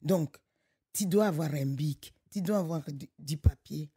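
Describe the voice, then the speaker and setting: A middle-aged woman from Congo, director of a local association, speaking in an animated, emphatic way with frequent restarts. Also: deep voice, measured pace, slightly rough, dry recording, studio quality. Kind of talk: conversational podcast interview.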